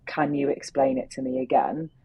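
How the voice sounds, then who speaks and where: Low, gravelly-voiced woman. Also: level tone, moderate pace, mellow, rough recording. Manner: earnest, thoughtful, speaks in flowing run-on sentences. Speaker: interview guest, facilitator. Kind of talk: reflective conversational interview answers.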